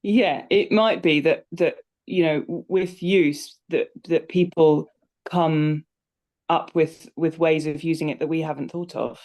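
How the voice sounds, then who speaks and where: A woman's voice: low voice, fairly even intonation, a little slow, a little mellow. A woman chatting reflectively on a live online call, hesitant and repeating words as she thinks. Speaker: co-creator speaking on a live audience call. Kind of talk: casual reflective conversation.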